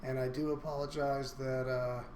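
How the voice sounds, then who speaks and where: A male college instructor talks through his syllabus in a flat, monotone voice that barely rises or falls. He stumbles along with frequent fillers and restarts. The room rings with echo and carries a faint hum of ambience. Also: mid-range voice. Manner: halting, many "uh" fillers and restarts. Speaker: university instructor. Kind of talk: recorded online course syllabus walkthrough.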